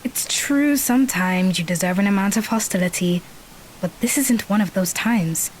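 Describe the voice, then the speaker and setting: A woman's voice: medium pitch, normal speed, noisy background, close to an everyday voice. A woman playing a bossy, logical, argumentative teenage girl in an audio drama. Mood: resigned. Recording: music bed under the voice.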